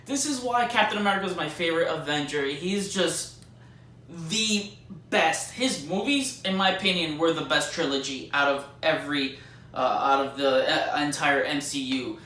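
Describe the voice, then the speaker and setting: A man with a bright, forceful voice talks through a film in a loose, slangy way, leaning hard on his opinions. His pitch sits a little high, and he sounds set back from the mic in an echoey room. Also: normal speed. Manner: rambling, slangy, mildly sweary fan talk. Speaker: movie reviewer. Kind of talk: casual spoken movie review with spoilers. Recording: roomy echo, distant mic, faint noise.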